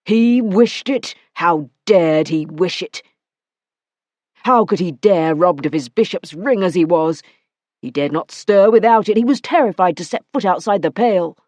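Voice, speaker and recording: A woman's voice: fairly low pitch, dry recording. A woman voicing a man in a cold frenzy, who speaks in an intense, hissing way. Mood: cold fury. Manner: intense, hissing, slow and forceful.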